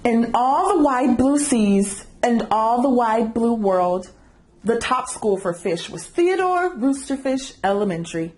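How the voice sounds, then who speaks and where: A woman storyteller reading aloud for children, animated and engaging listeners with questions. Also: fairly high pitch, a little slow, rough recording. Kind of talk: expressive children's read-aloud narration.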